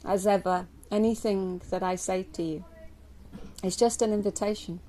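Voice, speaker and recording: A woman from England leading a guided yoga nidra meditation for a group, speaking gently and slowly with long pauses. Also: medium pitch, rough recording. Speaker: yoga nidra teacher guiding a relaxation. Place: live group session at a camp. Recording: steady ambient noise, live recording.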